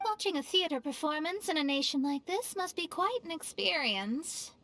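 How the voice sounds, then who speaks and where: A woman's voice: very high-pitched, lively intonation, moderate pace, fairly bright tone, heavily acted. A woman voicing a wry, intellectual young woman in a video game, with a dry, detached manner. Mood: detached, musing.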